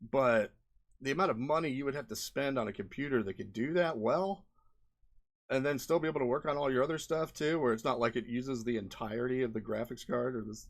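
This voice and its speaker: A man giving casual, off-the-cuff commentary over video clips in a rambling, colloquial way, often checking in with "right?". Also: mid-range voice. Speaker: indie game developer, video host.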